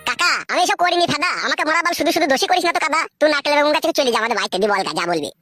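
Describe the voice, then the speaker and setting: Woman doing a cocky cartoon village young guy, high pitched, arguing, dismissive, super fast. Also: animated, sharp tone, faint music behind. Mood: indignant. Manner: argumentative, dismissive. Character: brash, foul-mouthed young village son-in-law. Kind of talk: Bengali village comedy cartoon dialogue.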